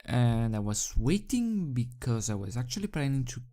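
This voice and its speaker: A video host and fan fiction reader with a hesitant, halting, self-deprecating manner, speaking into a desk microphone. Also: mid-range voice, sing-song, warm tone. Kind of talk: casual rambling chat, then storybook narration. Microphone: desk microphone without pop filter. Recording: background music under the voice. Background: music.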